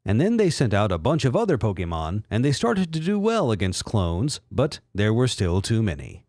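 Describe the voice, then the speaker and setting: Theatrical man with hugely animated pitch. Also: normal speed, dry recording, studio quality. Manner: sardonic, theatrical. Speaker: reader and commentator. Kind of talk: mock-dramatic narration.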